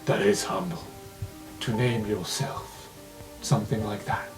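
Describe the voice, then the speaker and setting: A man's voice: lowish voice, music in the background, voice pushed down, natural voice. A man voicing a stern, haughty noble lion-man patriarch in a tabletop game, curt and probing. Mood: cool, appraising. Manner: curt, probing questions with measured pauses.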